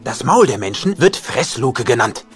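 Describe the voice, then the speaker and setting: A man as a cocky, wildly animated raccoon hustler. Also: mid-range voice, moderate pace, over music, lightly acted. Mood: showman-like explaining. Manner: persuasive, cocky salesman patter. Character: sly, fast-talking male raccoon con artist. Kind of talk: cartoon film dub acting.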